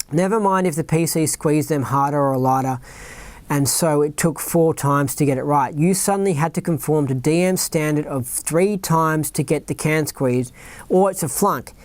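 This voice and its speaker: Australian-accented podcast host, mid pitch, dry close mic, reads a script then drifts into chatty asides. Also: a little mellow, no echo. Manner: rambling, keeps asking "right?" and "you know what I mean?". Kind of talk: reading a written account aloud with off-script asides.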